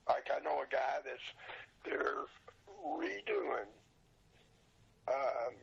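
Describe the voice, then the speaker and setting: Very gravelly, husky older man chatting. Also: mid-range voice, expressive, extremely slow. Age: 60s or older. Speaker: interview guest, old radio show collector and seller. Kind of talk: casual interview chat. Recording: dull lo-fi sound.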